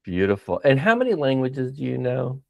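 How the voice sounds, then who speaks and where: A man's voice: mid-range voice, very animated, fairly quick, gravelly, a little mellow, no room sound. A man hosting a podcast interview over a video call, speaking in a friendly, informal way with short remarks. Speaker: podcast host. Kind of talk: casual interview.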